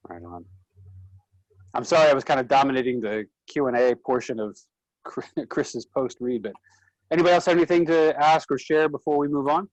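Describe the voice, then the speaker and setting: Mid-pitched man with a close, dry sound. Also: normal speed, no room sound, lo-fi sound. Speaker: actor moderating a Q&A. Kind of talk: casual, encouraging group discussion.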